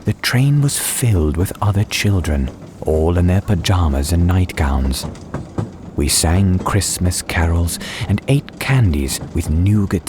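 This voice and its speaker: A man reading a dramatized storybook as an audiobook narrator, with measured, deliberate phrasing. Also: sits low. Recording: clear ambience and train noise behind. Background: soundscape of train and ambience.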